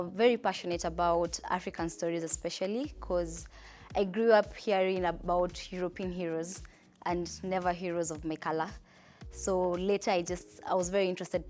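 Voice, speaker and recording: Young kenyan woman, slightly low voice, storytelling interview, chatty, light music under. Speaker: entrepreneur.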